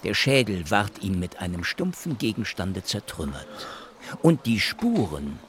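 A man's voice: on the low side, big pitch swings, measured pace, slight background noise. An actor playing a probing police detective in a German radio play, clipped and insistent in his questioning. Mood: probing, official.

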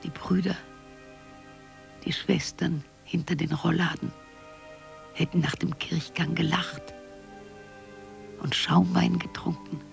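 Gravelly, wistful old woman. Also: fairly low pitch, fairly even intonation, takes it slowly, pauses often, soft background music, full character voice. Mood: wistful, brooding. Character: wistful old woman remembering her small town. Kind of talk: poetic radio drama monologue.

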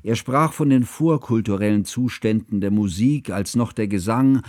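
An actor playing a cultured, reflective narrator in a literary radio drama, recalling events in a measured way. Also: male voice, fairly low pitch, darkish tone, no echo, studio quality, lightly acted. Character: cultured, reflective male friend recounting a remembered lecture. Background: plucked guitar music.

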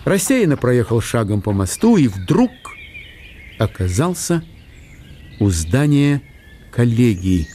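A man narrating a literary radio play in a measured, storytelling manner. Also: mid-range voice, sing-song, mellow, slight background noise.

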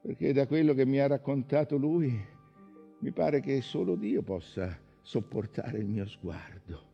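An actor playing an intense, wistful man recalling his youth in a hushed, halting, intimate theatrical monologue. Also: medium pitch, gravelly, highs cut off, faint music behind. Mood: confiding, hesitant.

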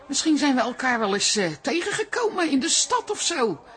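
An actress playing a theatrical older grandmother in a Dutch radio drama, exclamatory and gently scolding. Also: high voice, very animated, moderate pace, soft background music. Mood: amazed. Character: warm, indignant, theatrical grandmother, easily amazed.

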